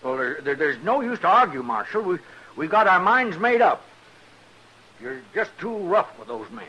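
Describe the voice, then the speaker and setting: A man's voice: high voice, sing-song, normal speed, sharp tone, breathy edge, muffled recording, exaggerated voice. An actor playing a fussy, cowardly town businessman who stammers and hedges evasively in an old-time western radio drama. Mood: flustered protest. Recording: noisy, hissy old-time radio recording.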